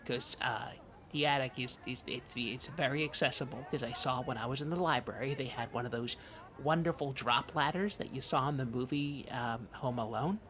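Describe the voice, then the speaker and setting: Performer acting as prim flustered man, bright tense voice, halting stammer, wistful, defensive, improvised comedy podcast, some background noise. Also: mid-range voice, natural voice. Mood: sheepish, halting. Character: fussy, wistful, easily flustered small-town man.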